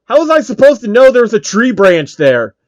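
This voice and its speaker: A man voicing a hot-headed young male player who shouts and swears when things go wrong. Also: extremely high pitch, big pitch swings, fairly quick, highs cut off, pitched above the performer's own voice. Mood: angry. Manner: loud, angry outbursts, swearing.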